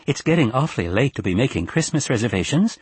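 A male reader plays a nagging elderly mother in an audiobook novel. She speaks in a raised pitch with lively swings, severe, complaining and insistent. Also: brisk, slightly rough, no echo. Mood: fretful, severe. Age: older. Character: elderly grandmother, severe, fretful, nagging and reproachful.

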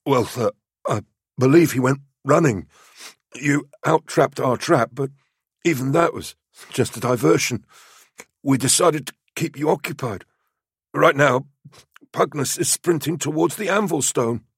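Guy doing a cocky young recruit in an audiobook, fake innocent and insolent, voice pitched up, super dry sound. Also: a little slow, gravelly, pauses often, breathy edge, no echo, full character voice. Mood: mock-innocent. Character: cheeky battered youth, defiant, feigning innocence to superiors.

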